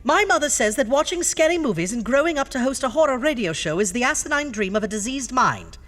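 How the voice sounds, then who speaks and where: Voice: sits high, animated, fast, a bit bright, a little hiss or hum. A performer playing a flamboyant undead doctor who hosts a campy horror radio show with theatrical, mock-sweet delivery. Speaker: host.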